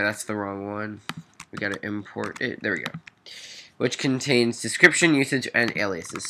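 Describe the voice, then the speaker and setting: A bright-voiced man hosts a coding walkthrough, sounding nasal and congested with a cold. He talks it through haltingly, correcting himself along the way. There is faint noise behind him, with keyboard typing and clicks. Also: fairly low pitch. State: stuffy nose from a cold. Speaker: programming tutorial host. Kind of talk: informal coding walkthrough, thinking aloud. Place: at a computer, screen recording voice-over. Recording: noisy mic with keyboard clicks.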